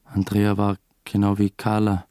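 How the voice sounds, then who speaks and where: A man's voice: on the low side, monotone, unhurried, mellow, clean tone, no room sound, studio quality, lightly acted. An actor playing a brooding, weary man who narrates his own story in a radio drama, subdued and sometimes hesitant. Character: brooding, weary male first-person narrator under suspicion.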